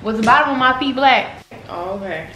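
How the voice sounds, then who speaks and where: A woman's voice: fairly high pitch, animated, brisk, bright, forceful tone, roomy sound, a little hiss or hum, poor audio. A young woman chatting candidly to her viewers and her dog in a casual vlog. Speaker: vlogger. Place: apartment rooms and a parked car.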